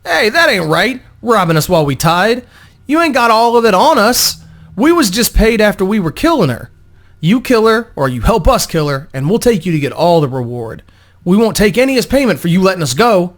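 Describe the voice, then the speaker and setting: A man's voice: high voice, big pitch swings, brisk, voice pushed up. A man voicing a cowardly young street thug in a fantasy story, pleading in slangy, ungrammatical speech. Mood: indignant, pleading. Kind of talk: dramatic fantasy story reading.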